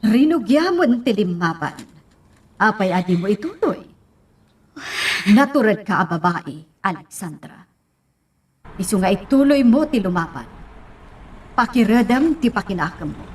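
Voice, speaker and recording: A woman's voice: medium pitch, big pitch swings, measured pace, pauses often, darkish tone, breathy edge. A woman playing a firm, encouraging mother in an Ilocano radio drama. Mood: firm encouragement.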